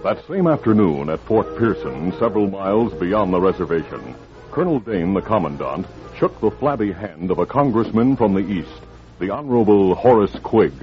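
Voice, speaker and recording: A man's voice: low-pitched, sing-song, normal speed. A man giving dramatic scripted narration for a 1950s radio western. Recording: dull old radio recording. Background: music.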